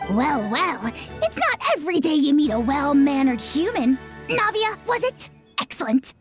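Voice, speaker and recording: A woman's voice: high-pitched, sing-song, moderate pace. A woman voicing a tiny, boastful, bratty dragon lord in a video game, pompous and haughty. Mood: smug, pompous. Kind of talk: animated video game acting. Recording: music behind the voice.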